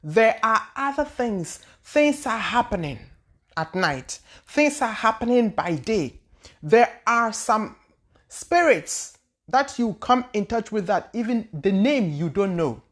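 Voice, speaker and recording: A woman's voice: a little high, very animated, slow. A woman from Ghana, hosting her own channel as a spiritual teacher and answering viewer questions in a loose, searching way that often repeats words and trails into hesitations. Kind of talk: casual spoken talk answering viewer questions.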